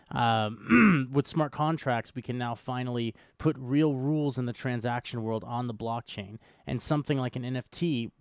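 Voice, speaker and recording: A man's voice: medium pitch, a little mellow, no echo. A man hosting a live podcast, explaining things in an unscripted, conversational way. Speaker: podcast host.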